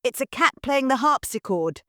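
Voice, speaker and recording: A woman voicing a whimsical, cheerful young daughter who talks brightly and chirpily. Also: high-pitched, lively intonation, normal speed, no echo, studio quality, pitched above the performer's own voice.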